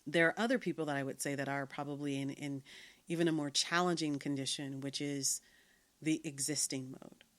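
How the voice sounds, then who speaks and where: A woman's voice: sits low, steady tone, a little fast. A middle-aged woman hosting a podcast, talking earnestly in a reflective monologue with many fillers and restarts. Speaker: podcast host.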